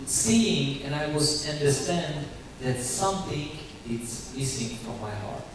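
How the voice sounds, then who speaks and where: A young man with a Ukrainian accent giving his church testimony in earnest, halting English full of restarts. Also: fairly high pitch, expressive. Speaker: guest speaker giving testimony. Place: church sanctuary. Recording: very echoey church hall, distant mic, faint hiss.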